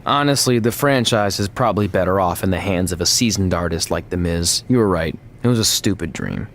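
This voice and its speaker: A man's voice: sits low, expressive, a little fast, fairly bright tone, a little hiss or hum. A man voicing an eager, earnest young male wrestler with a boyish manner in a video game cutscene. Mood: dejected.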